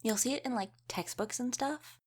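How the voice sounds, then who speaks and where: A woman's voice: fairly high pitch, moderate pace, husky. A woman playing an easygoing young female wolf shifter in a casual audio roleplay, chatting and explaining things informally. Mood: chatty, informative.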